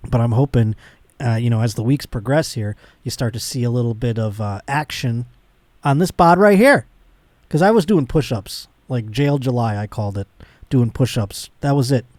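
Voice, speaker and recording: A man's voice: medium pitch, darkish tone, dry recording. Casual man in his late thirties, dry close sound. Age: late 30s. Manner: blunt, profane, slangy. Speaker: talk show host. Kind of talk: casual comedic podcast chat.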